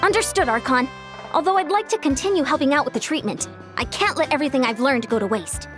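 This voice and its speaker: A woman playing a spirited, diligent young female coach in a video game, upbeat, energetic and earnest. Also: high-pitched, fast talker. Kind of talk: scripted video game voice acting. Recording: music behind the voice.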